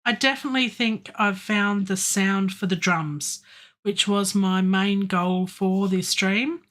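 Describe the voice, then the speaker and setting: Slow-talking hoarse woman in home studio. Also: mid-range voice. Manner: relaxed, thinking aloud, many ums and pauses. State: hoarse with a sore throat. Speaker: music producer streaming a songwriting session. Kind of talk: casual live-stream talk while working. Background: faint music playback from the session.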